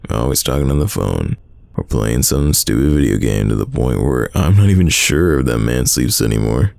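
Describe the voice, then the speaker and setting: Man acting a very deep, gravelly, secretly smitten young guy. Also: animated, darkish tone, no echo, full character voice. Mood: teasing, casual. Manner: casual, conversational, halting, teasing. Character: young man, best friend's sibling, secretly smitten, teasing and guarded. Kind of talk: roleplay audio drama, intimate casual chat.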